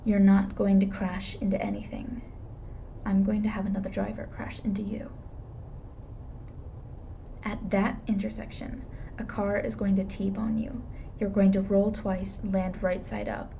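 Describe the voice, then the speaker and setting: Woman playing a mellow, dry-witted female reaper. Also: mid-range voice, flat delivery, a little slow, gravelly, long pauses, a little hiss or hum. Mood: firm, instructing. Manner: dry, matter-of-fact, grudging. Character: female reaper, blunt, weary, reluctantly soft-hearted. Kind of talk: intimate ASMR roleplay acting.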